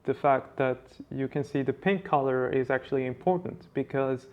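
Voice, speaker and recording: A man hosting a science vlog, calmly explaining research to viewers. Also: mid-range voice, normal speed, highs cut off. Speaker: vlog host.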